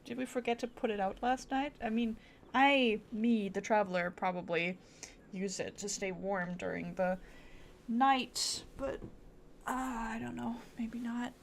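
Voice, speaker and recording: Casual female game streamer, slow, fragmentary thinking-aloud, gravelly fry, faint noise behind. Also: a little high, steady tone. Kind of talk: casual live gameplay commentary.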